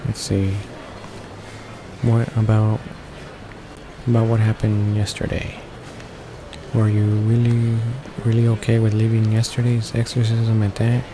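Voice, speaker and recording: A man reading visual novel text aloud for a gaming video, halting now and then with stumbles and repeated words. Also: fairly low pitch, monotone, background noise. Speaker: let's play host reading along. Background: game music.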